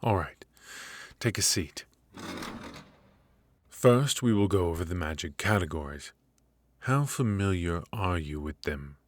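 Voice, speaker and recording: A man playing a cold, stern royal professor. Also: lively intonation. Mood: lecturing. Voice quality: low and cool, measured. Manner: stern, clipped, lecturing. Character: cold strict male royal magic professor. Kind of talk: ASMR roleplay.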